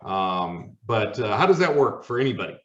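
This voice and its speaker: Low-voiced man in a roomy space. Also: sing-song, normal speed. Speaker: host of a discussion show. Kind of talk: casual unscripted panel chat. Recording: roomy, dull audio from far off.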